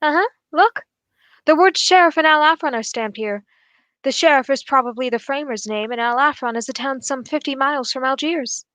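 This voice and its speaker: A woman's voice: sits high, normal speed, a bit bright, dry recording. A woman playing a keen, assured male English detective in a radio drama, speaking in a formal, analytical way. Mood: brisk, decisive.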